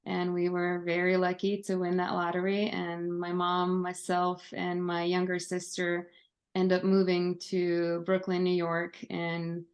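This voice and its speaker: A woman's voice: fairly low pitch, measured pace, muffled recording. A woman in her thirties from Lithuania, a Lithuanian native speaker, telling her story in a casual interview over a video call. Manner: steady, many fillers and restarts. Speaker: guest, Army veteran. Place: home office.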